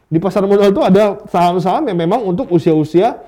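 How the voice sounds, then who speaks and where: Man, fairly high pitch, very soft-edged mellow tone, guest expert, conversational explanations. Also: a little fast. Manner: conversational, explains with acted-out questions and examples. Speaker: guest expert on stock market investing. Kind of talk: casual explanatory interview talk.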